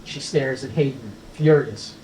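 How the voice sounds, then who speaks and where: A man's voice: medium pitch, a little slow, mellow. A man reading stage directions as scripted narration at a screenplay table read. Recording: roomy, distant mic, faint noise.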